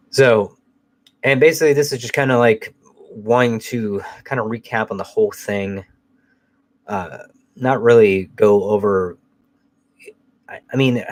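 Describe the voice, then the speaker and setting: A man hosting a casual live chat while fighting a cold, sounding nasal, rambling loosely and swearing now and then. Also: fairly low pitch. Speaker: host.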